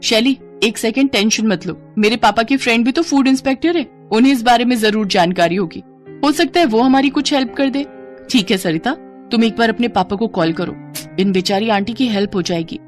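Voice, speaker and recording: A woman's voice: on the high side, fairly quick, faint music behind, natural voice. A woman voicing a kind, helpful young female customer in a moral story, eager to help. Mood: concerned. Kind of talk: storybook character acting.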